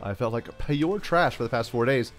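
A man hosting a gaming channel, chatting playfully through a let's-play, stuffed up from a cold and now and then yelling out excited bursts. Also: mid-range voice, normal speed, faint music behind. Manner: playful, talks to himself, yells bursts like "send it". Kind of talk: casual let's-play commentary.

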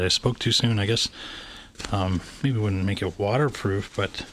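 Canadian man, low voice, unscripted rambling gear review, indoor handheld, faint hiss. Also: a bit bright. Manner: rambling, hesitant with many fillers. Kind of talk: casual unboxing commentary. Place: indoors, room with wooden floor. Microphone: handheld camera pointed down.

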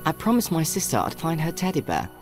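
A man's voice: a little high, wildly swooping pitch, fast talker, soft background music. A man playing an earnest, caring young country boy and protective older brother, narrating reflectively in a video game. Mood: determined.

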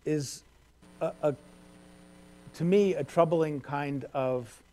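A man's voice: on the high side, takes it slowly, a little mellow. A man speaking as a panelist, candid and hesitant, restarting his sentences. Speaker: panelist, journalist. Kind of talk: panel discussion answer. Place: stage at a law school panel table.

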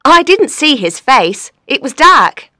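A woman's voice: high voice, sing-song, takes it slowly, pushed and bright, no echo, clean studio sound, exaggerated voice. A woman playing a small, friendly, helpful young waitress in an audiobook story. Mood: friendly, apologetic. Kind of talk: audiobook character dialogue.

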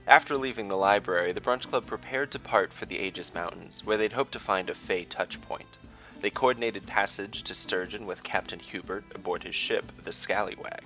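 A man's voice: on the low side, normal speed, bright, forceful tone, soft background music, poor audio. A man narrating a scripted fantasy tale as dungeon master, with theatrical, descriptive storytelling.

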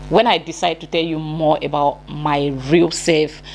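A woman's voice: sits low, flat delivery, measured pace, darkish tone, slight background noise. A woman with a Nigerian accent talking to camera in an emphatic, defiant vlogging style, often repeating herself for weight. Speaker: YouTuber. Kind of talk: opinionated talking-head vlog. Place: indoor room at home.

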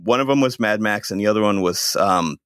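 A man's voice: lowish voice, a little fast, a bit bright, dry recording, clean studio sound. A man as a podcast guest in casual chat, telling stories eagerly with frequent restarts. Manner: enthusiastic storyteller, restarts often.

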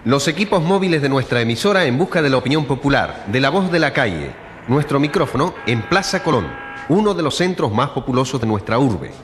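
An actor in a radio drama playing a brisk, formal male reporter who asks probing questions. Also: medium pitch, sing-song, a little hiss or hum, natural voice. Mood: declamatory.

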